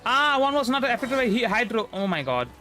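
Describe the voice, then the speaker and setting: A man's voice: very high-pitched, big pitch swings, a little fast, pushed and bright. A young man from India with a Bengali accent, a native Bengali speaker, giving casual, hesitant and rambling gameplay commentary as a livestreamer. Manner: hesitant, rambling, repeats himself. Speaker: livestreamer, VTuber. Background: game music.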